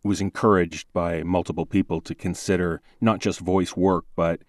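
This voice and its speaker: Male podcast guest, low register, articulate and measured, close dry sound. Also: little pitch movement, no room sound. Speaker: podcast host and producer, voice actor. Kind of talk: relaxed interview conversation.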